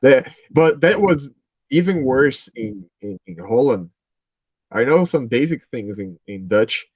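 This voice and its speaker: A man's voice: mid-range voice, expressive, measured pace, slightly rough, no room sound. A man with a Brazilian Portuguese accent chatting in English at a language club, hesitant and restarting often. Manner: hesitant, restarts often, laughs while telling stories. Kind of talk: casual conversation practice.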